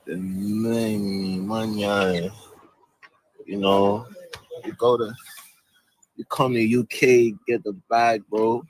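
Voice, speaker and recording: A man in a social media clip bragging in a swaggering, slangy, drawn-out way. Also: on the low side, flat delivery, rough recording.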